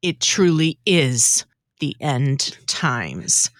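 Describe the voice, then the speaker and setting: A woman's voice: low voice, very animated, very slow, no room sound, studio quality. A woman speaking scripted lines in a comedy radio skit.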